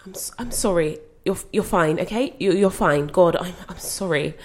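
A woman's voice: fairly low pitch, moderate pace, natural voice. An actress playing a young woman who looks after her grandmother, strained but trying to stay soothing. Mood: apologetic. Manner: strained and exasperated, then apologetic and soothing. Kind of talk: screen drama acting.

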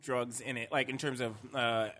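A man presents an esports news segment in a loose, rambling podcast chat. His voice is bright and forceful, and his delivery is relaxed, full of asides and laughter. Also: mid-range voice, normal speed.

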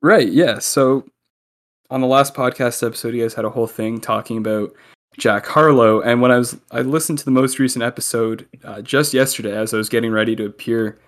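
A man's voice: mid-range voice, fairly even intonation, a little fast, fairly bright tone. A young man, a guest on a podcast, joking and telling stories in casual chat while recording remotely over a call. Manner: chatty storyteller, joking.

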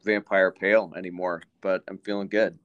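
Laid-back low-voiced man cohost. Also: flat delivery, normal speed, phone-quality sound. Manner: laid-back, dry humor. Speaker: podcast co-host. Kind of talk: casual chat.

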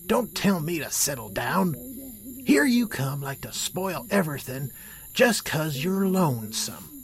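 A man's voice: fairly high pitch, sing-song, a little slow. A man playing a short-tempered outlaw ringleader with an American cowboy drawl in a western audio drama. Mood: angry, scolding.

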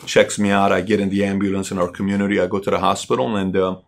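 A middle-aged man from Iran, a company CEO, telling his personal story in a halting way with many fillers and restarts. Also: sits low, level tone, gravelly.

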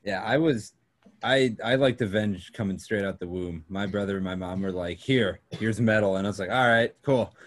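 A nineteen-year-old man talks casually with friends on a podcast. His voice runs somewhat low, and he is chatty and agreeable, quick to laugh along. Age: late teens. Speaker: podcast co-host. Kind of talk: casual chat.

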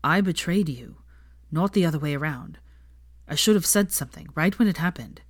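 A gentle, awkward young man in audiobook dialogue , as a woman reader puts him on. He talks casually and hesitantly, rueful and a bit blunt, on the lower side in a dry, close sound. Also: animated, moderate pace, dry recording. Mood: regretful.

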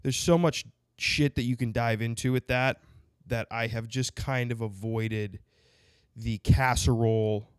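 Close on a dry, dead podcast mic, a man with a cold hosts his show in a rambling, sarcastic way. He keeps circling back with fillers and restarts, his pitch sitting at a middling level. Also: fairly even intonation, measured pace, clear, resonant tone, dry recording. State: has a cold with a sinus infection. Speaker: podcast host. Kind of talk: casual podcast interview.

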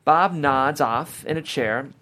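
A man's voice: on the high side, takes it slowly, bright, forceful tone. A man narrating scene directions from a screenplay at a table read, in scripted narration.

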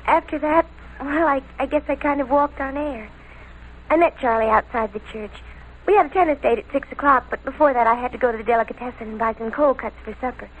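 Woman playing a high-voiced, gushing American teenage girl, vintage radio. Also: over music, lightly acted. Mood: dreamy, gushing. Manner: breathless, slangy, halting confession. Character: lovestruck, defiant teenage girl, gushing and naive. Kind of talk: 1940s American radio crime drama acting. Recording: noisy vintage radio recording.

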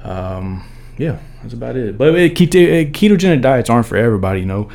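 A man with a deep baritone voice, a podcast guest explaining things in loose, rambling casual chat and hedging as he goes. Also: very animated, fast talker, creaky, a little mellow.

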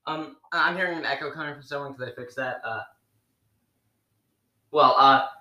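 A man's voice: medium pitch, moderate pace, sharp tone. Hesitant man in an echoey room. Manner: hesitant, stumbling into questions. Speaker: podcast host. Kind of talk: interview questions.

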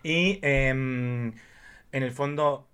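A man's voice: mid-range voice, very slow, over a noisy crowd. A man with a Chilean accent co-hosting a podcast in casual, confessional chat, playful and campy, sometimes dropping in English phrases. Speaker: podcast co-host.

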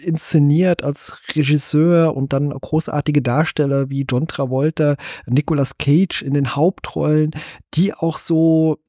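A man's voice: a little high, fairly even intonation, measured pace, dark tone, dry recording, clean studio sound. A man hosting a podcast, explaining things conversationally in a casual film discussion. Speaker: podcast host.

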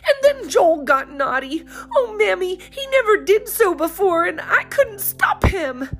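A woman's voice: very high-pitched, animated, unhurried, faint music behind, pitched above the performer's own voice, full character voice. A woman voicing a tearful, earnest young girl who pleads breathlessly through her crying. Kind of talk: audiobook character voice.

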